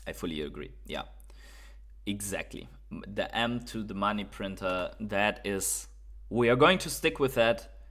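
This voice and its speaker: A man's voice: on the low side, a bit bright. A man from Germany with a German accent, hosting a casual crypto livestream, reading viewer comments and thinking aloud with fillers and repeats.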